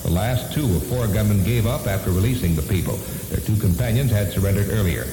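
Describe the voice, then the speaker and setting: Fast-talking low-voiced male radio newsreader. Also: animated. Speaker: radio news anchor. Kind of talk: scripted news bulletin. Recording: hissy 1970s AM radio, reverberant.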